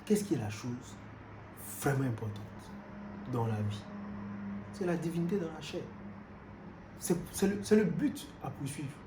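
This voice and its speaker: Animated preacher in an echoey room. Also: male voice, unhurried, pauses often. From Cameroon. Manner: animated, swings from loud exclamations to low, quiet asides, with frequent repetitions. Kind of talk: sermon. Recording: roomy echo with faint background noise.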